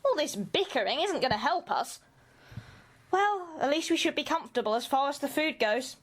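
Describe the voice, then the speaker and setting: A woman's voice: sits high, expressive, moderate pace. A woman playing an earnest, placating, cautious team leader in an amateur radio drama.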